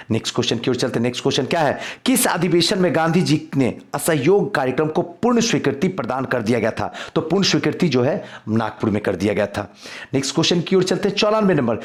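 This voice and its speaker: A male exam teacher runs through a quiz lesson for online viewers. His voice sits a little high and moves in lively, wide swings. He is emphatic and likes to repeat questions and answers.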